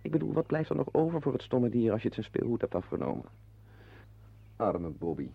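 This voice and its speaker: A man's voice: mid-range voice, big pitch swings, fairly quick, vocal fry, slightly rough, no echo, heavily acted. An actor playing a wry, brooding henpecked husband in a Dutch radio play, confiding his musings to the listener. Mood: musing, rueful. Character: wry, brooding henpecked husband, animal-loving former artist. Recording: muffled, band-limited old radio play recording.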